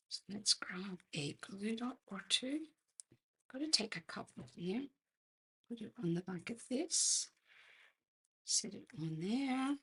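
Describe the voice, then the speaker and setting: An Australian woman with a croaky voice hosts a casual live craft tutorial, chatting and murmuring to herself as she works. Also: medium pitch, a bit bright. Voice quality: husky, croaky. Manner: unhurried, chatty, murmuring to herself while working. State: croaky voice, clearing throat. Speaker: host of a craft demonstration livestream.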